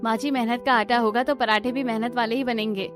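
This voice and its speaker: A woman's voice: fairly high pitch, steady tone, a little fast, music in the background, natural voice. A woman playing a polite, determined young daughter-in-law in a cartoon story, sincere in manner. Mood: hopeful.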